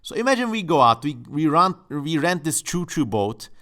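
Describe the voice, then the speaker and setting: A man's voice: a little high, very animated, normal speed, a bit bright. Playful man. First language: Turkish. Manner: playful, musing, tongue-in-cheek. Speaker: channel host. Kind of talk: casual exploratory commentary. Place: home.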